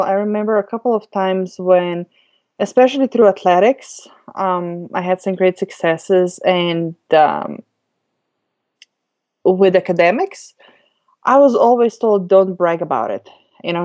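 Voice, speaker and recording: A woman's voice: medium pitch, a little mellow. A woman from Slovenia, chatting as a guest on an interview podcast, laughing easily with many ums. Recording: dull lo-fi audio.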